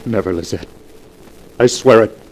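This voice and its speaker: Actor as an anguished, tender husband, old radio. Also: male voice, fairly low pitch, normal speed, a little mellow, lightly acted. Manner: tender, earnest, pleading. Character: devoted, anguished husband of a dying wife. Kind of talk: 1940s radio melodrama acting. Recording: faintly noisy 1940s radio recording.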